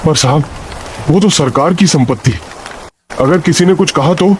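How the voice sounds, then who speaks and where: A man's voice: a little high, normal speed, slight background noise, close to an everyday voice. A man playing a poor, anxious labourer father in a cartoon moral story, with a humble, plaintive delivery. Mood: humble, pleading. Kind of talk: Hindi animated moral story dialogue. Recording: music behind the voice.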